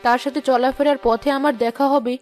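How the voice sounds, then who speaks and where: A woman's voice: high voice, fairly even intonation, brisk, darkish tone, faint music behind, natural voice. A woman as an insistent, anxious queen. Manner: formal, insistent.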